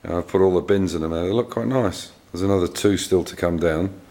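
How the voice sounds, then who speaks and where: A man's voice: deep voice, a little fast, dark tone. A middle-aged English man with an English accent, chatting casually about his car work with many fillers and repeated words. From England. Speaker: car restorer vlogger. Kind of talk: casual workshop vlog. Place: garage workshop. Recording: echoey garage with faint noise.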